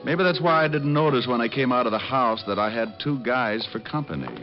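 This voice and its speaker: A man's voice: medium pitch, fairly quick, close to an everyday voice. Guy acting a slangy smartass detective, old radio show, dull sound, music behind him. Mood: wry narrating. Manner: glib, sardonic, slangy. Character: cocky wisecracking male private detective. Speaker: actor in a radio detective drama. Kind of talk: old-time radio acting with first-person narration.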